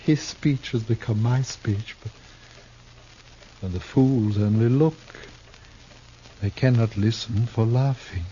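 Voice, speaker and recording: An actor playing a bitter, tormented Shakespearean player turned killer in a 1950s radio drama, declaiming floridly with halting repetitions. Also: male voice, mid-range voice, mellow, lightly acted. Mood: bitter, wounded. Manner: theatrical, declamatory, halting with repeated words. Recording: muffled, noisy 1950s radio recording.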